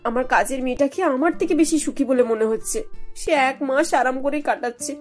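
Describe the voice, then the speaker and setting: A woman's voice: high voice, a little fast, a little mellow, soft background music, natural voice. A woman voicing a harried young working daughter-in-law in a cartoon, flustered and given to whining complaint. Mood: exasperated. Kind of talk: animated cartoon dialogue.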